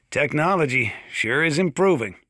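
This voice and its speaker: An actor voicing a folksy, gun-loving sheriff in a video game, chatty and opinionated, as if hosting a radio monologue. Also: male voice, fairly high pitch, animated, a little slow, bright, forceful tone, no echo, clean studio sound. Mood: fond, chatty. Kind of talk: scripted radio monologue, folksy instructional commentary.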